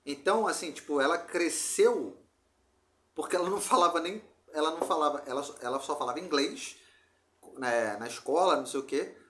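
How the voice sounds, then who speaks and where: A man's voice: on the high side, brisk, background noise. A man with a Rio de Janeiro Brazilian accent chatting casually as a podcast host, telling anecdotes in a slangy, rambling way. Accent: Brazilian Portuguese, Rio de Janeiro. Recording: roomy echo.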